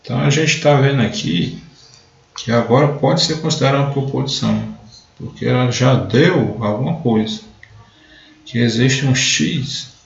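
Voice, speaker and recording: A man's voice: medium pitch, normal speed, vocal fry. A man teaching a logic class in a live online lesson, explaining step by step and often starting with "então". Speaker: math teacher. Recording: echoey room, dull lo-fi sound.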